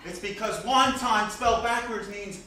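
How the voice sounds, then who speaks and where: A man reading the stage role of a genial, reassuring doctor friend, expressive and explanatory. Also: sits high, normal speed, lightly acted. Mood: joking. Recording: echoey room, distant mic, noisy.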